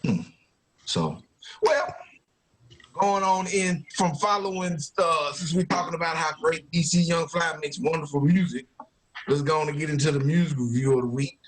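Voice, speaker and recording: A man's voice: fairly high pitch, moderate pace, low-quality recording. A man hosting a podcast in casual, slangy banter peppered with profanity. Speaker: podcast host.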